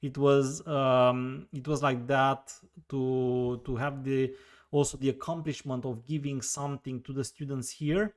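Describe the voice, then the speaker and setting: A man's voice: medium pitch, level tone, a little slow. A man with a Romanian accent hosting a live question-and-answer session, thinking aloud with frequent fillers and repeated words. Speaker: host answering viewer questions.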